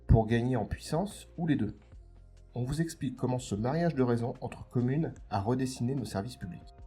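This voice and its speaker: A man hosting an educational podcast, reading a scripted explainer in a didactic, clearly structured way that addresses the listener. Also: medium pitch, mellow. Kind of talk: scripted explainer narration for exam revision.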